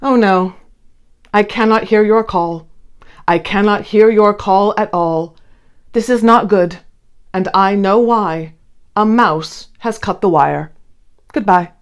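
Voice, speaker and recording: A woman, around her thirties, talking and reading to young children in an expressive, emphatic way. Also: mid-range voice, slow pace, pauses often, clear, resonant tone. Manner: animated, emphatic, addressing children directly. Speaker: children's read-along host. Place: indoor room, seated before a plain blue wall.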